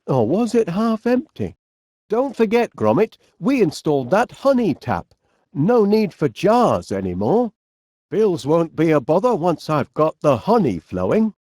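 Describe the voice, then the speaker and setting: Eccentric cartoon game inventor, Northern English accent, very animated intonation, slow pace, cheerful, chummy, genteel. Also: male voice, fairly high pitch, no echo, clean studio sound, exaggerated voice. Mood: chatty musing. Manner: chummy, genteel old-fashioned phrasing, musing aloud. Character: cheerful eccentric middle-aged English inventor, fond of his dog. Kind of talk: animated video game character voice lines.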